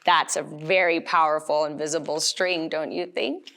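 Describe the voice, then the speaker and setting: A woman reading a picture book aloud to young children, gently and with little questions to them as she goes. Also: low-pitched, fairly even intonation, moderate pace. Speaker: storytime reader.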